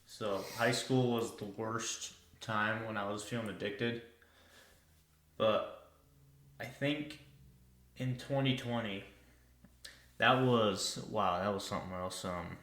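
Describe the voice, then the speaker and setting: A young man of twenty talks slowly and unhurriedly, his voice sitting a bit low. He hesitates and pads his sentences with filler words. The recording has a noticeable room echo. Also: fairly bright tone. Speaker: podcast co-host. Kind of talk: casual podcast chat. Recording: echoey room.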